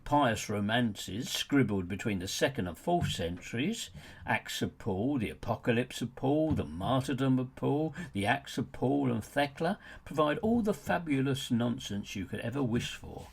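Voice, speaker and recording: A man's voice: mid-range voice, animated, moderate pace, creaky, a little hiss or hum. A man reading his own book as scripted narration, in a sardonic, mocking way with wry rhetorical asides.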